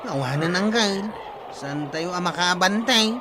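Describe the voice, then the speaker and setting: A man's voice: high voice, animated, unhurried, a crowd in the background. A man playing a careful Ilocano nephew. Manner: careful, warning tone. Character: cautious young male nephew. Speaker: captain's nephew. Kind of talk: radio drama acting.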